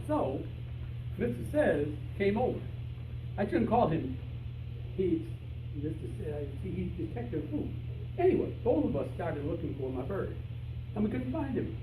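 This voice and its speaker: A man playing an excitable, childish, gullible amateur detective in old-time radio comedy style. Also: high voice, moderate pace. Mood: earnest. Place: live rehearsal in a room with an audience. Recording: echoey, noisy room, distant mic.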